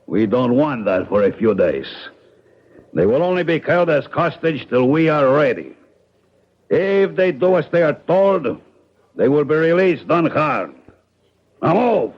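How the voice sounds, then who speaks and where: An actor playing a gruff male gunman guarding hostages in a 1970s radio drama, giving curt, threatening orders. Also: fairly high pitch, very animated, a little slow, pauses often, full character voice. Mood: menacing. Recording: dull old radio broadcast.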